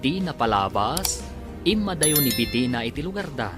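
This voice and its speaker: Animated male radio drama announcer over music. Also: medium pitch, moderate pace.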